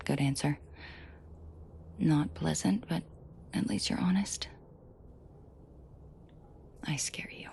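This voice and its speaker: A woman playing a commanding, protective mafia boss in an intimate roleplay audio drama, shifting between cold authority and soft coaxing. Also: deep voice, slow pace, creaky, pauses often, in a whisper, heavily acted. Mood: tender, protective. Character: commanding female mafia boss, furious yet protective and tender.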